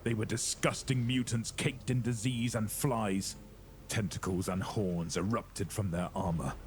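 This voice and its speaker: A man's voice: lowish voice, steady tone, a little slow, slight background noise. A man narrating a horror audio drama in a grave, ominous, scripted dramatic storytelling style.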